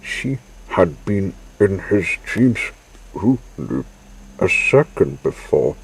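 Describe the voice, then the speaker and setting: A man with a low, rough-edged voice narrates a children's story. He goes extremely slowly, in halting little phrases. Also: big pitch swings, poor audio. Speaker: storyteller reading a children's book aloud. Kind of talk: slow read-aloud children's story narration.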